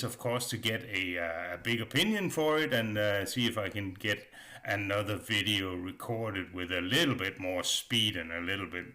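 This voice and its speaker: Low-pitched man with a bright, hesitant delivery. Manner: hesitant, rambling, repeats words. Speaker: hobbyist video reviewer. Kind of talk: casual voice-over review.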